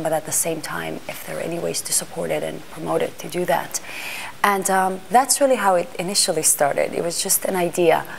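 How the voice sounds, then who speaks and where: Low gravelly woman, thoughtful interview. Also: slight background noise. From Iran, raised in Greece and Washington D.C. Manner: thoughtful, searching, many restarts and fillers. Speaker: art fair panel organizer. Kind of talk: interview monologue.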